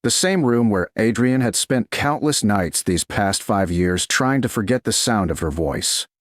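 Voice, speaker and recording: Low-voiced male romance storyteller. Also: animated, no echo. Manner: dramatic, deliberate short phrasing. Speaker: audiobook narrator. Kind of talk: scripted romantic story narration.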